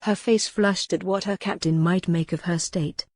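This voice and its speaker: A woman's voice: on the low side, steady tone, normal speed, a little mellow, no room sound, studio quality. A woman reading scripted fiction as a dramatic audiobook narrator.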